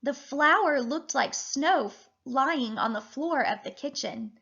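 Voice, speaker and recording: A woman with a high voice speaks as a teacher to young children. Her delivery is animated and deliberate, as in a picture-book read-aloud. The sound is slightly dull, like a webcam recording indoors.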